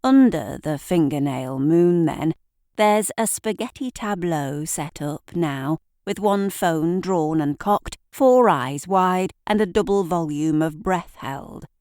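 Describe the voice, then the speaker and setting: A woman reads scripted literary fiction as a theatrical storyteller. Her voice sits a little low and she takes her time, with very measured delivery. The sound is dry and close. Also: lively intonation, a little mellow, no echo. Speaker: author and narrator.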